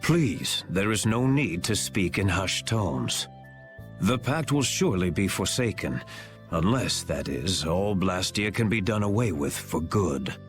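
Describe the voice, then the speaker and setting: An actor playing a weary, cryptic sage in a fantasy game, speaking formally and deliberately with a superior air. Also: male voice, deep voice, sing-song, faint music behind. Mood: lecturing, superior. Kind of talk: anime-style game voice acting.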